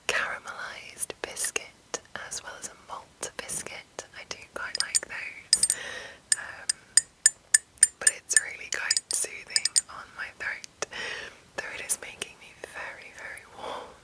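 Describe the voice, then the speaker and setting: A woman hosting whispered ASMR, speaking in a soothing, breathy whisper, with a sore, breaking throat from being under the weather. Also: poor audio. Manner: slow, soothing, deliberate delivery. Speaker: ASMR channel host. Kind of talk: whispered ASMR, reading and commenting.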